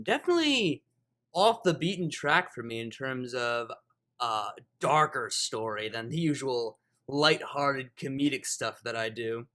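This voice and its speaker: A man's voice: mid-range voice, fairly bright tone. A man who reads fan fiction aloud and hosts his own channel. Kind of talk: dramatic scripted story narration, then casual outro. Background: music.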